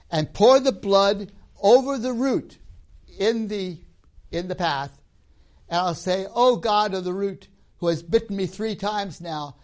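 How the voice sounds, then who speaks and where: A man who grew up in Tanzania giving a storytelling lecture on religion and myth, often ending points with a tag question. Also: high voice.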